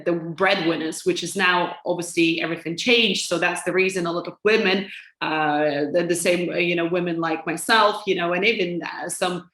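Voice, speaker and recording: A woman's voice: fairly low pitch, fairly bright tone. A young woman from Lithuania with a Lithuanian accent, answering interview questions hesitantly, with many fillers and restarts. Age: young adult. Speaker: guest. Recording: echoey room.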